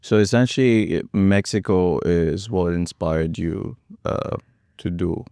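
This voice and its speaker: A man's voice: sits low, slow pace, warm tone, smooth, dry recording, clean studio sound. A man hosting a business talk show, interviewing his guest in a friendly, jokey, upbeat way. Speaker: host. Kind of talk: casual interview.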